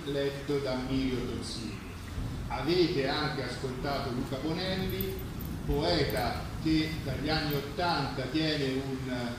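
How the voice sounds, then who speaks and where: A man hosting a live literary reading, introducing the readers and authors in spoken announcements. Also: medium pitch. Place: live public reading at a festival, picked up from afar. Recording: very echoey, distant microphone, clearly noisy live event.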